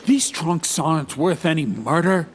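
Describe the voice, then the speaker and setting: A man's voice: on the high side, animated, measured pace, slight background noise, pitched above the performer's own voice. A man voicing an indignant, stern protector who declaims in archaic, theatrical style in an audiobook story, raised above the narrator's usual voice. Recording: music behind the voice.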